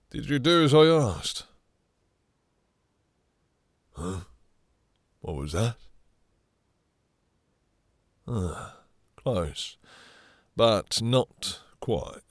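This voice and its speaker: A man playing a possessive, seductive vampire master, breathy and husky, purring condescending endearments and commands in an intimate audio roleplay. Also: mid-range voice, sing-song, slow pace, pauses often, no room sound, studio quality. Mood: mocking, commanding.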